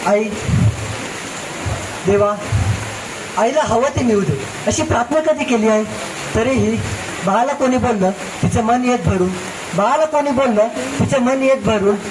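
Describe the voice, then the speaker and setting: A woman's voice: fairly high pitch, a little slow, long pauses. A woman giving a heartfelt, declamatory poetry recitation on stage. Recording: distant echoey hall, loud noise.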